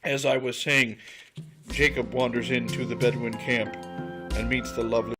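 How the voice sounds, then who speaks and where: A performer putting on an overdramatic, deadpan narrator in a scripted comic adventure spoof, speaking slowly and portentously. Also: medium pitch, music in the background, lightly acted.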